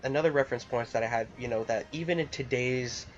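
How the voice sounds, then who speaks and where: A man's voice: medium pitch, fast talker. A man giving casual, unscripted video game opinions in a rambling, enthusiastic way with sarcastic asides. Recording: muffled lo-fi mic with background music. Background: music.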